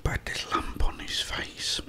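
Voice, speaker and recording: A British man in middle age speaks in a breathy whisper throughout. He chats in a confiding, rambling way, as an ASMR creator talking straight to his viewers. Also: slow pace. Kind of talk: whispered ASMR storytelling, casual chat.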